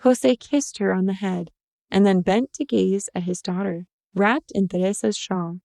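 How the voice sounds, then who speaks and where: A woman narrates literary fiction from a script. Her voice is mid-pitched and mellow. The recording is very dry, with little room sound. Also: a little slow, gravelly, dry recording. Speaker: short story narrator.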